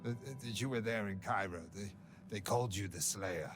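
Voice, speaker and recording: A nervous male vampire, voiced by a man stammers and dodges questions in a lowish voice. He sounds wary and cornered. Loud game music fills the background. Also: brisk, natural voice. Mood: wary, tense. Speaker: game character.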